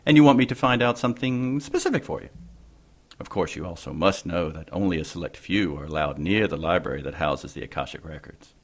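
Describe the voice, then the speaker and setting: An actor playing a suave, worldly, flirtatious monsignor with a courtly, formal manner in an audio drama. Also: male voice, deep voice, expressive, fairly quick. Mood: wary, courteous.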